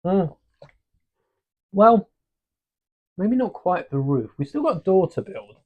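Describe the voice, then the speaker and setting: A man hosting a long live stream from his desk, chatting casually and thinking aloud as he builds, with a relaxed, self-deprecating manner. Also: high-pitched, slow pace, narrow, tinny audio. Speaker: livestream host building a brick set. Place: home desk during a livestream. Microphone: desk microphone.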